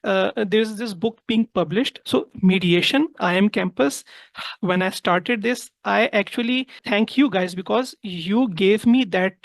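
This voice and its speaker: A woman's voice: medium pitch, a little slow, vocal fry, dry recording, poor audio. A woman from Pakistan with a Pakistani accent, telling a personal story earnestly as an interview guest and often rephrasing points.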